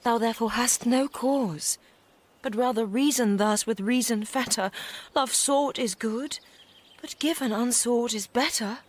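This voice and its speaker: A woman playing an ardent young lady in radio Shakespeare. She speaks verse slowly in a fairly high, pleading voice, with faint ambient noise behind. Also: natural voice. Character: lovestruck, proud young noblewoman.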